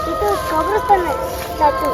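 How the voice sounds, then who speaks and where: A woman's voice: extremely high pitch, moderate pace. A woman voicing a timid, easily frightened young child in a horror cartoon. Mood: timid, uneasy. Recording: very loud music over the voice.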